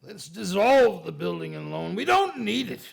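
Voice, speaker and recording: An actor playing a cold, ruthless old businessman villain in a radio play, blunt and callous, with a gravelly voice. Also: high-pitched, big pitch swings, moderate pace, exaggerated voice. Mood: cold, dismissive. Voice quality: gravelly, rough creak.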